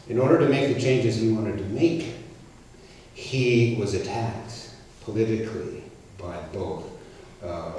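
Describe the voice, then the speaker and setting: Man, Canadian accent, soft dark tone, conversational explanations, hesitant starts, distant mic, reverberant hall. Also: on the low side. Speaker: guest speaker. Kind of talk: answering audience questions after a public talk. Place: church hall. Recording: very echoey hall, distant mic, faint noise.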